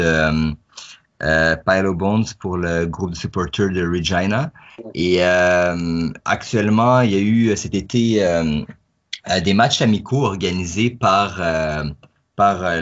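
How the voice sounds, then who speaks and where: Deep-voiced man co-hosting a podcast. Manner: frequent fillers, explanatory. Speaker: podcast co-host. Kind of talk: casual chat. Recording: dull lo-fi audio.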